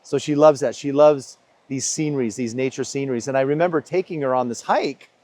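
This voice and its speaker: An American man interviewing a painter, asking warm leading questions in an easy conversational way. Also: mid-range voice, fairly quick, no room sound. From United States. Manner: friendly, leading questions, talks over answers.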